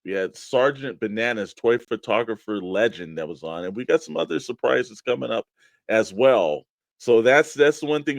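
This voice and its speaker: A male co-host with a medium-pitched voice in a very dry recording. He moves between sponsor-style promotion and conversational chat with his partner. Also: no room sound. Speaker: co-host of a toy collecting radio show.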